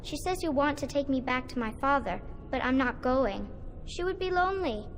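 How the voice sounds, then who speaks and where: A woman's voice: sits high, expressive, normal speed, slight background noise. A sing-song little girl. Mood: playful. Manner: childlike, sing-song, petulant. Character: little girl, playful and stubborn, befriending a demon cat. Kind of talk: video game cutscene voice acting. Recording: game audio with music behind.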